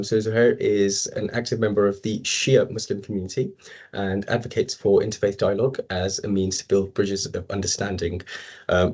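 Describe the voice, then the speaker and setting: A man's voice: fairly low pitch, darkish tone, slightly rough. A man moderating a webinar panel in a polite, hesitant way, introducing each speaker. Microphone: video call.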